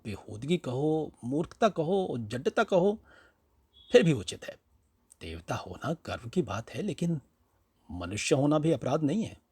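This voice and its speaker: A man narrating a story in an expressive, conversational way, dramatizing rhetorical questions as he goes. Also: mid-range voice, slightly rough, no echo. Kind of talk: expressive audiobook-style storytelling.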